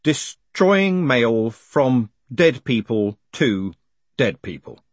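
A man's voice: a little high, big pitch swings, moderate pace, husky, no room sound, voice pushed up. A man voicing a weary, sceptical man in charge, dry and sardonic, in an audiobook. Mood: flat. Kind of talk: audiobook character acting.

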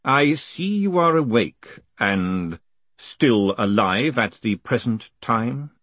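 A man voicing a thin despotic ruler in an audiobook, formal, deliberate and coolly menacing. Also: medium pitch, lively intonation, fairly bright tone, dry recording.

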